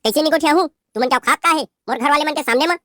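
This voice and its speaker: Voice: sits high, very fast, sharp tone, no room sound. A performer voicing a spoiled, haughty young daughter-in-law with a Chhattisgarhi accent, scolding and rude in a cartoon. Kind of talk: cartoon voice acting.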